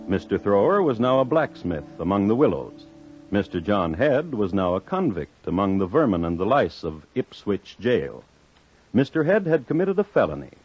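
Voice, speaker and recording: An actor narrating a 1950s radio crime drama as a dry-witted, deadpan storyteller with formal, measured phrasing. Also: male voice, on the low side, husky. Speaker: host and narrator. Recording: dull old radio recording. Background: faint music.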